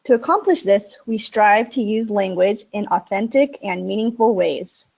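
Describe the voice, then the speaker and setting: Woman teacher doing an online english webinar, upbeat and clear, medium pitch. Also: animated, moderate pace, a little mellow. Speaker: ESL instructor. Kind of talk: webinar presentation.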